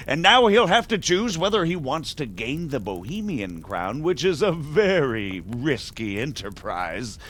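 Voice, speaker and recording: An actor playing a shrewd, persuasive medieval margrave with an emphatic, aristocratic manner in a game cutscene. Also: male voice, mid-range voice, a bit bright, exaggerated voice. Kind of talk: dramatic game cutscene acting.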